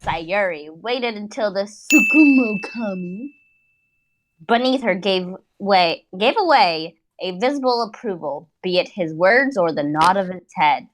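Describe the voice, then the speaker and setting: Theatrical, slow-paced woman narrator. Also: medium pitch, lively intonation, background noise. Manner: theatrical, drawn-out phrasing. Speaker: narrator of a comic dramatic reading. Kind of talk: mock-dramatic fan fiction narration with asides.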